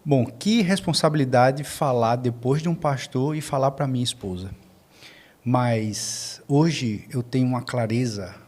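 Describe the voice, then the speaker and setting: A man's voice: mid-range voice, a little mellow. A man with a Brazilian accent, a podcast guest giving earnest testimony in conversation, repeating phrases for emphasis.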